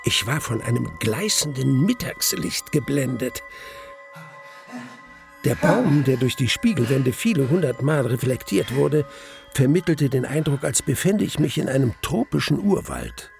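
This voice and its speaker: An actor playing a tormented man recounting his ordeal, as measured, grave and dramatic narration in a radio drama. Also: medium pitch, very animated, vocal fry, darkish tone, soft background music. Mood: grave recollection.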